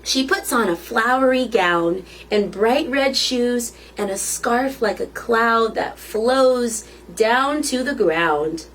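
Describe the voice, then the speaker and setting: A woman's voice: on the high side, animated, takes it slowly, few pauses, a bit bright, poor audio. A woman reading a children's picture book aloud as a storytime reader, expressively and with questions to the listeners.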